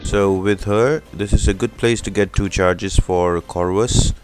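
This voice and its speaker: A man's voice: sits low, steady tone, a little slow, music in the background. A man giving a casual, explanatory gameplay walkthrough as the host of a video game guide. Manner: explanatory, instructional.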